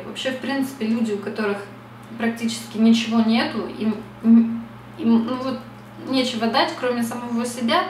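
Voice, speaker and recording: A woman's voice: on the high side, darkish tone, reverberant, far from the mic, a little hiss or hum, low-quality recording. A young woman from Russia talking casually to camera at home, reflective and chatty, with many fillers. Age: young adult. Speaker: beauty vlogger. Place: small bright room at home.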